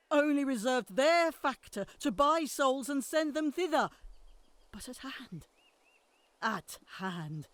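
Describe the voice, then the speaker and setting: A bitter older queen, bent on revenge. She talks slowly, hissing and whispering, then breaks into fierce curses. The sound is close and dry. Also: high-pitched, fairly bright tone, no room sound, exaggerated voice. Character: old deposed queen, bitter and vengeful. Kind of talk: Shakespearean audio drama acting.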